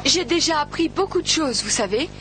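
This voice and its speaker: A woman's voice: high-pitched, expressive, fairly quick, noisy background. A woman voicing an earnest young girl who is eager to learn music, polite and sincere, in a French cartoon dub. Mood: reassuring. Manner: pleading then gently reassuring. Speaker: music student. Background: background music score.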